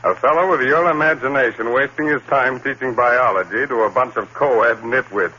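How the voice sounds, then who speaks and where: Bright, skeptical pal, vintage radio. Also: male voice, medium pitch, normal speed, husky. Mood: incredulous, teasing. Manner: incredulous, breezy, conversational. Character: skeptical, bantering male friend of the accused. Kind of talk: 1950s radio drama acting. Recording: dull, noisy old radio recording.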